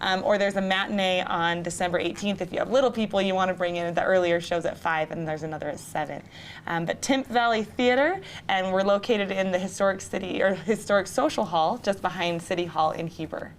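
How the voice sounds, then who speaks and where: A woman's voice: mid-range voice, brisk. A woman giving earnest, emphatic interview answers as a community theater actor. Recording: noticeable background noise.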